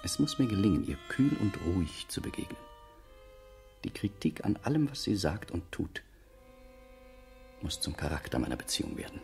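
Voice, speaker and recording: Stiff gentleman, low animated voice. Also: normal speed, long pauses, soft background music. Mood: earnest self-resolve. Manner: formal, reserved, clipped replies. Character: stiff, educated brother, coolly ironic and self-disciplined. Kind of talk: German radio play acting.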